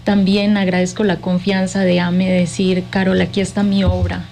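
A woman's voice: lowish voice, level tone, moderate pace, vocal fry, mellow. Effusive Colombian woman, some background noise. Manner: effusive, affectionate. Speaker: interview guest, cultural manager. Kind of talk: conversational interview.

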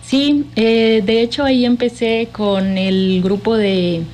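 A woman's voice: fairly high pitch, fairly even intonation, slow pace, a little mellow, faint music behind. A woman with a Colombian accent speaking effusively and affectionately as a guest in a conversational interview. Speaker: interview guest, cultural manager.

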